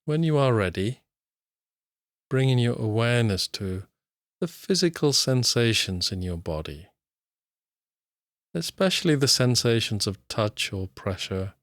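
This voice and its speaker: A man guiding a body scan meditation, speaking slowly and calmly with long pauses. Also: mid-range voice, no echo, clean studio sound. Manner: slow, calm, soothing, with long pauses. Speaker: mindfulness teacher.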